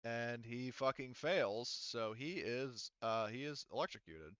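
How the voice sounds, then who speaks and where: A man's voice: mid-range voice, measured pace, fairly bright tone, smooth, no room sound, dull audio. A man running a tabletop role-playing game as game master, talking rules casually and swearing freely.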